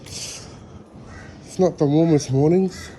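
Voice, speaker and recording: Slow, relaxed New man outdoors. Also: a little high, a little hiss or hum. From New Zealand. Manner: relaxed, rambling, with hesitant fillers. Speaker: vlogger. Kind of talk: casual walking tour vlog. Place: outdoors at a school grounds.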